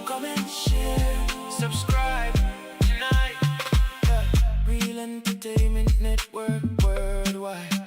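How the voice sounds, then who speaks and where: A woman's voice: mid-range voice, flat delivery, extremely slow. A Caribbean woman chanting a rhythmic theme jingle for a talk show. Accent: Caribbean English. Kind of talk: sung show jingle over a beat. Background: loud Afrobeat music.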